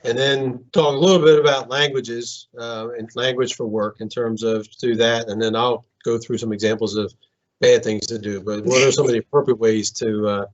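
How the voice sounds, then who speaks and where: A man's voice: mid-range voice, fairly even intonation, normal speed. A man co-presenting a workplace skills talk, loosely introducing the next topic and prompting his partner. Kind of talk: casual instructional talk. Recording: muffled and off-mic.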